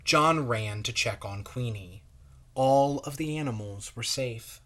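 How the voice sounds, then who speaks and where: A man, a pastor, reading a children's story aloud in a measured, expressive way. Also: medium pitch.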